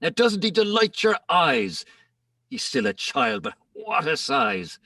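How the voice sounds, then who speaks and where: A man's voice: a little high, wildly swooping pitch, measured pace, bright, forceful tone, dry recording, exaggerated voice. A man playing a boastful old man pleading before a court, in a declamatory dramatic reading of comic verse, recorded dry and slightly distant. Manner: declamatory, rhythmic, emphatic.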